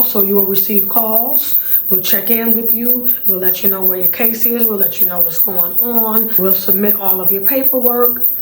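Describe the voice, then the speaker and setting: A raspy-voiced, middle-aged woman chats straight to the camera as a vlog host, stacking up repeated words for emphasis and laughing easily. Her voice rings a little in a roomy space, with a faint hum of background noise behind it. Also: mid-range voice. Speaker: channel host, surplus funds recovery business owner. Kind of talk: casual talking-to-camera vlog.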